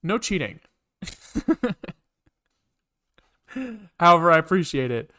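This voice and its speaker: A man casually live-coding on a stream, thinking aloud in halting, muttered bits as he works. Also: on the high side, sing-song, bright, forceful tone. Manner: halting, muttering to himself while working. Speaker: programming livestreamer.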